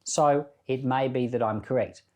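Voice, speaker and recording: Guy pastor doing a bible podcast, mid pitch voice, reflective and conversational, reading and explaining scripture. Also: steady tone, moderate pace, darkish tone. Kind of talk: Bible reading and informal devotional commentary.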